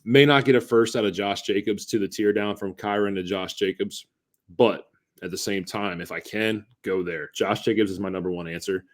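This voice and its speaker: A man's voice: fairly low pitch, moderate pace. A man co-hosting a casual sports podcast, making his points emphatically and repeating them for stress. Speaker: podcast co-host.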